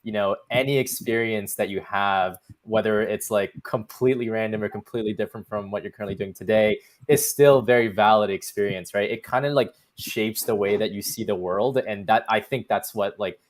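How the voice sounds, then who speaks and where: A man with a fairly low voice talks casually on a panel. He is informal and halting, peppering his advice with filler words. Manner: informal, halting, heavy use of "like". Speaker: panelist, product designer.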